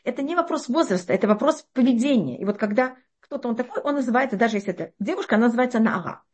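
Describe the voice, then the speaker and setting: A middle-aged woman teaching a religious text class, explaining with lively rhetorical questions and frequent restarts. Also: fairly high pitch, very fast, breathy edge. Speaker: Torah teacher.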